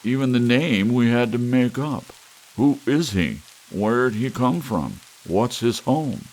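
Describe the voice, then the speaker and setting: A man's voice: medium pitch, animated, takes it slowly, darkish tone, pitched above the performer's own voice. A man voicing an anxious, shaken lawyer while narrating an audiobook. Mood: uneasy. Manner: anxious, pleading. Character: nervous, shaken male lawyer, adult.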